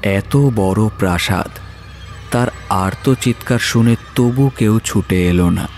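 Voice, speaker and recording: A male narrator tells a horror story in a fairly low voice. He takes his time, very slow and measured, with dramatic pauses between phrases. Soft music runs behind him.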